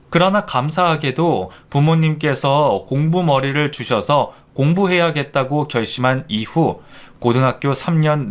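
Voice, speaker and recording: A man calmly narrating a scripted first-person life story. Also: on the high side.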